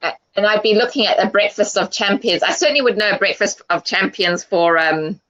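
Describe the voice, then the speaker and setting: A woman from South Africa, a guest in a casual online panel chat, speaking hesitantly with many pauses and fillers. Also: mid-range voice, a bit bright. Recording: dull lo-fi web call audio.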